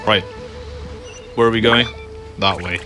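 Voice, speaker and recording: A man's voice: fairly low pitch, steady tone, a little slow. A man casually commenting over a game playthrough in a rambling, offhand way, often correcting himself. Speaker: gameplay commentator. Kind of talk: casual let's-play commentary. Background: game music.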